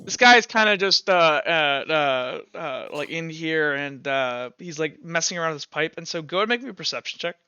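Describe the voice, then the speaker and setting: A man's voice: fairly high pitch, expressive, brisk, hardly any pauses, sharp tone, smooth, no room sound, highs cut off. A young man narrating a tabletop game as game master over a voice call, rambling with fillers and restarts. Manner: rambling, many fillers and restarts, laughs often. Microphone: home microphone on voice call.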